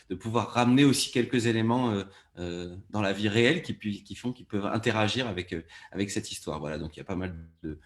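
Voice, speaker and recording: A man giving long, structured explanations as a panelist in a conversational discussion. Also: fairly low pitch. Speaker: panelist, audio fiction producer.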